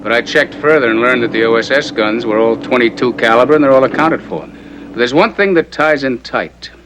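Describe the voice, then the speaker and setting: An actor playing a serious, methodical district attorney, measured and matter-of-fact, in a 1960s TV crime drama. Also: male voice, mid-range voice, background noise, close to an everyday voice. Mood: explanatory. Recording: vintage TV soundtrack, faint music.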